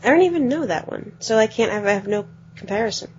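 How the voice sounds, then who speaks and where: A woman co-hosting a podcast, chatting quickly about video games and often letting her phrases trail off. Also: on the high side, lively intonation, husky. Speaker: podcast co-host. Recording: dull lo-fi podcast audio.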